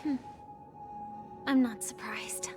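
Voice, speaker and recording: An actress voicing a calm, guarded young woman warrior in a video game, speaking softly, seriously and carefully. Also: on the high side, slow, over music. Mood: resigned, guarded. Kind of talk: scripted game dialogue.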